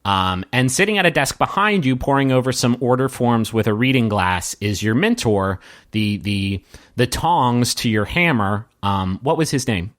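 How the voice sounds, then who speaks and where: A man with a medium-pitched voice acts as game master for a tabletop roleplaying game. He improvises his narration, addressing the player directly and pausing to search for the next words. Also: lively intonation, a bit bright.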